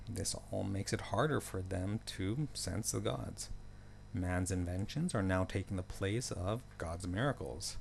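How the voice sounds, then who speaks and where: A man's voice: lowish voice, gravelly, darkish tone, slight background noise. A man narrating a story recap in a casual, loosely scripted way, with wry joking asides.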